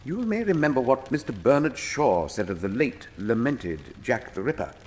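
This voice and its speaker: In a long, hall-like reverb, a man plays a Victorian gentleman detective with a British accent. He is precise, dry and condescending, pitched in a middle male register with theatrical radio drama poise. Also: sing-song, normal speed, a little hiss or hum, natural voice. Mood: dryly amused. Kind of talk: full-cast radio drama acting.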